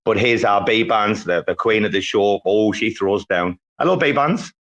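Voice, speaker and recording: A man's voice: fairly low pitch, a little slow, a bit bright. A man with a British accent hosting a casual chat in an enthusiastic, chatty way full of informal slang. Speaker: show host.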